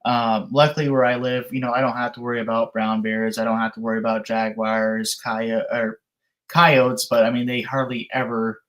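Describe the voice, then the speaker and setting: A man's voice: mid-range voice, little pitch movement, moderate pace, fairly bright tone, poor audio. A man in his early thirties chatting casually as a live-stream guest, with a folksy, down-to-earth, colloquial way of talking. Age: 30s. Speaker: guest, outdoor camping creator. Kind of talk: casual live-stream chat about camping.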